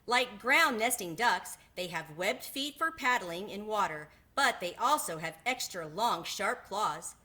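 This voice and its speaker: Bright-voiced woman reading aloud. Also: mid-range voice, expressive, measured pace, hardly any pauses. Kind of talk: children's storybook read-aloud.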